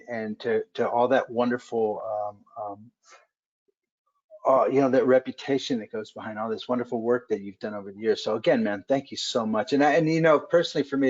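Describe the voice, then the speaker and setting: A man hosting a webcast interview in casual chat, halting with many fillers and restarts. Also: mid-range voice, a little mellow. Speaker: webcast host. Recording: dull lo-fi webcast audio.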